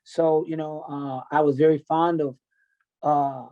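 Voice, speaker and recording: A man's voice: on the high side, fairly even intonation, a little slow, muffled recording. An American man in his fifties telling his life story candidly as an interview guest, in a blunt, emphatic, unfiltered way. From United States, grew up in Tennessee and California. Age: 50s. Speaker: podcast guest, MMA coach.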